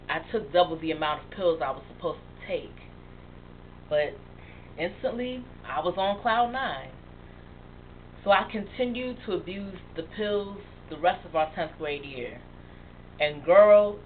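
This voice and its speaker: An actress playing a young woman who haltingly and emotionally confesses a past addiction to her best friend. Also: low-pitched. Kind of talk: dramatic monologue. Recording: tinny phone-like sound with faint hiss.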